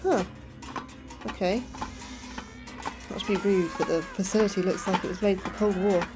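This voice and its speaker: A woman's voice: medium pitch, fairly even intonation, normal speed, over music, natural voice. Anxious, inquisitive woman scientist played by an actress. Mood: anxious, curious. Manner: questioning, nervous, apologetic. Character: anxious, inquisitive, apologetic female astrobiologist. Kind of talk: audio drama acting.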